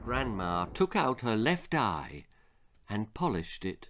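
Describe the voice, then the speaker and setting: Theatrical older man telling stories, slow-paced. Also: lowish voice, big pitch swings, fairly bright tone. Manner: theatrical, suspenseful, deliberate. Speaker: author reading his own children's story. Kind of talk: dramatic storytelling, scripted narration. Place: small book-lined room indoors.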